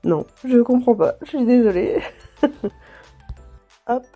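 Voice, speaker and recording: A woman with a stuffy nose from a cold, chatting gently to viewers as she tidies her home, with soft music behind. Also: a little high, lively intonation, takes it slowly. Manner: gentle, chatty, apologetic asides with light laughs. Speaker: home vlogger. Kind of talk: casual vlog narration.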